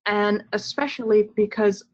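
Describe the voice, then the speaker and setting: A woman from the Netherlands with a Dutch accent, answering questions on a webinar panel in an earnest, measured way with small restarts. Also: medium pitch, fairly even intonation, dull audio. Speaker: nonprofit representative.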